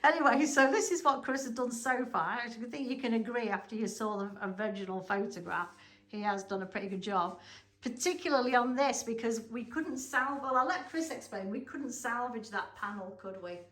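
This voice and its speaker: A woman's voice: on the high side, normal speed, fairly bright tone, distant mic. A British woman chatting casually and teasingly to viewers as co-host of a home restoration video. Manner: chatty, informal, teasing, addresses viewers directly.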